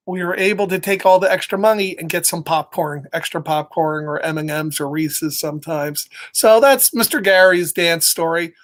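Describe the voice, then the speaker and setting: A middle-aged man reading aloud and telling casual stories to children in an encouraging, expressive, chatty way. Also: high-pitched. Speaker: storytime reader for children. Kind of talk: animated read-aloud and casual personal storytelling.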